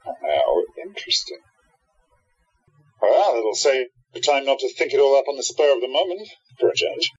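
A man's voice: medium pitch, very animated, normal speed, breathy edge, dull audio. A man playing a weary, irritable, sardonic hero in a radio play, with dry sarcasm. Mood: dry, tired.

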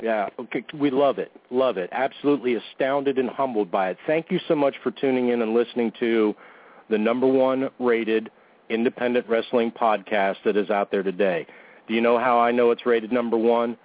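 A man's voice: mid-range voice, normal speed. Goofy male host on a tinny line. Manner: goofy, joking, self-deprecating. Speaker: podcast host. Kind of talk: casual radio-show banter and announcements.

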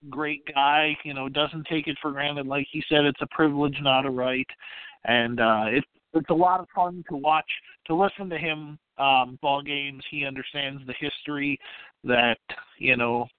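Male podcast host, casual interviewer with a slightly high, smooth voice on a thin phone-quality recording. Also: no room sound. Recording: dry, narrow phone-like sound.

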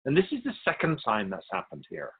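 Man voicing a serene spirit guide with animated intonation. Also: fairly low pitch, slightly rough, narrow, tinny audio, full character voice. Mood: solemn, assured. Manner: measured, formal, instructive. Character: Keeper of Souls, serene wise otherworldly spirit guide. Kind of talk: radio drama dialogue.

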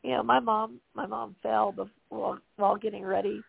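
Hoarse gravelly woman on a phone line. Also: mid-range voice, level tone, fairly quick. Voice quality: gravelly, husky. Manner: chatty, laughs often. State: losing her voice. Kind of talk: casual chat on a call-in internet radio show. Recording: tinny dry phone line.

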